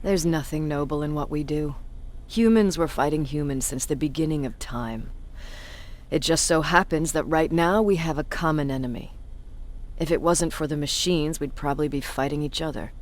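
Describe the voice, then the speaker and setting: An actor playing a cynical, intimidating military commander in a video game cutscene, speaking in a deliberate, condescending way. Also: medium pitch, slight background noise, lightly acted. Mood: cynical, weary. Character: cynical, intimidating human resistance military commander, self-described bully. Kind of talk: video game cutscene acting, dramatic monologue.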